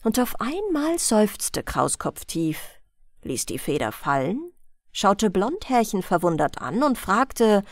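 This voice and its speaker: A woman reading a fairy tale aloud as a bedtime audiobook, in a gentle storytelling manner. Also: medium pitch, no echo, clean studio sound. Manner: slow, gentle storytelling with lively, sing-song melody. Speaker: audiobook reader.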